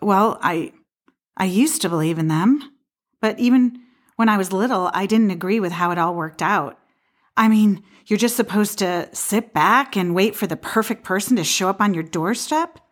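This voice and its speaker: An actress playing a skeptical but helpful apartment dweller in an audio play, speaking in a hesitant, conversational way. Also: female voice, medium pitch, moderate pace, lightly acted. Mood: skeptical, wistful.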